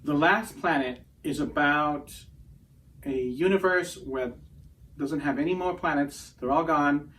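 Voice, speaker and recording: A man co-hosting in a casual, joking way, halting and self-deprecating as he announces books. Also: on the high side, fairly bright tone. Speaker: publisher and co-host. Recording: distant mic, faint background noise.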